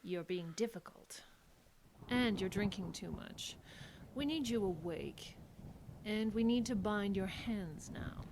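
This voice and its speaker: A woman's voice: mid-range voice, expressive, slow, heavy background noise. An actress playing a sultry, cruel female vampire cultist in a horror audio drama, sly and coolly taunting. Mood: gently menacing.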